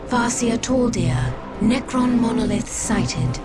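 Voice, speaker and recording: A woman's voice: on the high side, takes it slowly, over loud music. An actress playing a female alien soldier in a video game, addressing her leader formally and with deference. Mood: alert. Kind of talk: dramatic video game voice acting.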